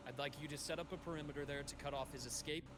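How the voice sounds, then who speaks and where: A man's voice: fairly high pitch, fairly even intonation, racing, natural voice. A man playing a composed, resolute doctor and fighter in a video game, speaking in a formal, clipped, controlled way. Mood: calm, businesslike. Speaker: game character voice actor. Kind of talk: scripted game voice acting. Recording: very noisy game stream capture.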